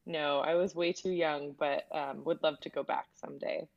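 A woman's voice: low voice, steady tone, moderate pace, gravelly, highs cut off. A young woman from Texas, a medical resident, answering interview questions in a friendly way with frequent laughs and fillers. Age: young adult. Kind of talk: casual interview.

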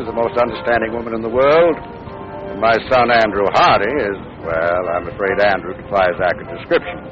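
Measured, wry American judge and father played by a man, old muffled radio. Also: medium pitch, lightly acted. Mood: reflective, wry. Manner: measured, wry, mildly exasperated. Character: dry, patient middle-aged judge, husband and father. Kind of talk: 1940s radio comedy acting.

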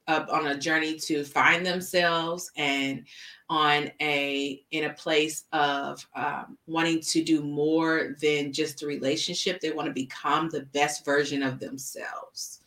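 A woman in her early forties co-hosting a podcast, chatting in a relaxed way with frequent fillers. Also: low-pitched, takes it slowly, distant mic. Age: 40s. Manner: laughs often, relaxed, uses fillers. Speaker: podcast co-host. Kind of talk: casual chat. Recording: echoey room, off-mic.

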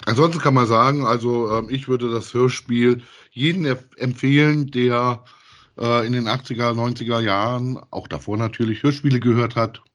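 A middle-aged man co-hosting a casual review podcast, speaking in a halting, hesitant way. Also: medium pitch, fairly even intonation, fairly bright tone. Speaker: podcast co-host. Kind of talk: casual review chat about audio dramas.

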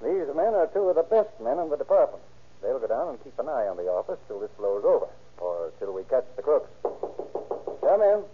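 A man playing a calm, authoritative police chief in 1930s radio drama, brisk and protective. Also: mid-range voice, slight background noise, natural voice. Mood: commanding, brisk. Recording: tinny muffled 1930s radio recording.

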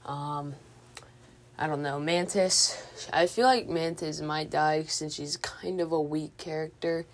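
A woman's voice: low-pitched, fairly even intonation, slow, a little hiss or hum. A woman hosting a podcast in a casual, informal way, hesitantly thinking aloud as she goes. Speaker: podcast host. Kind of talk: casual movie news chat.

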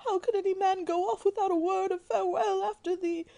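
A woman's voice: very high voice, steady tone, a little fast, creaky, dark tone. A woman playing a melodramatic, self-pitying middle-aged mother in a radio drama, wailing and overwrought. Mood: wailing, tearful.

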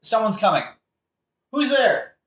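A woman playing a prince's unimpressed male friend in a comedic audio play, with dry, deadpan delivery. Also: a little high, a little slow. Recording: roomy echo.

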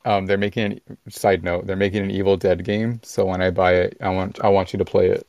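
A man chatting casually as a podcast host, with a flat, monotone delivery. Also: deep voice, a little fast, no room sound. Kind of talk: casual chat. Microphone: remote call.

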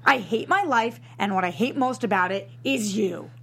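A woman's voice: mid-range voice, expressive, normal speed, creaky, a bit bright, husky, exaggerated voice. A woman playing a brash, foul-mouthed suburban wife at breaking point in a comedy table read. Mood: bitter, furious.

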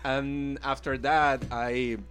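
A man's voice: mid-range voice, little pitch movement, takes it slowly, a bit bright, soft background music. A man in his thirties with a Venezuelan accent, a native Spanish speaker, casually telling his own story as an interview guest. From Caracas, Venezuela. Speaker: interview guest, illustrator and art teacher.

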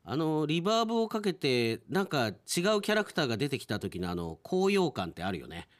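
A man's voice: on the high side, very animated, a little fast, no room sound, clean studio sound. A man hosting radio, chatting casually and speaking directly to listeners as an announcer.

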